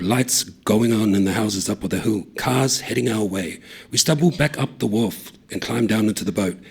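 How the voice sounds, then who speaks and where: Male story reader, husky and breathy, lowish, steady narration. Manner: steady, low-key narration. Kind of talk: short story reading.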